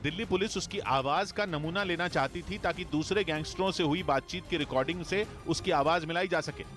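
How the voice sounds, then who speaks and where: A man reading a scripted news bulletin in a steady, formal newsreader delivery. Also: a little high, a little fast, faint music behind. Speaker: news reader.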